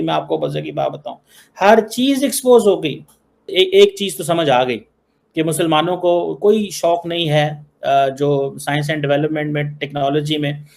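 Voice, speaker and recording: A man with a Pakistani accent speaks in a fairly high voice. He gives informal political commentary and leans on repeated phrases to press his point. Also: a little mellow. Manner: emphatic repetition of phrases.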